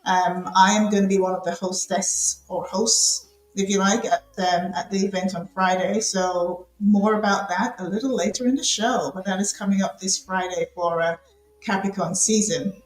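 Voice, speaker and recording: A woman's voice: mid-range voice, fairly even intonation, moderate pace. A woman, a show host from the UK, talking casually and live with plenty of ums and repeated phrases. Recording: roomy echo.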